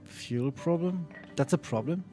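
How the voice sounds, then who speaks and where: A young man streaming a game as a vtuber, chatting casually with rambling restarts and quick reactions. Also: on the high side, mellow, faint music behind. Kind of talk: casual gaming chat and reading dialogue aloud.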